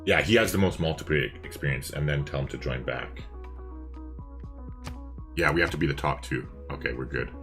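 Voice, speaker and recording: Man, extremely low voice, easygoing, casual game-stream chat, soft music in background. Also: normal speed, pauses often.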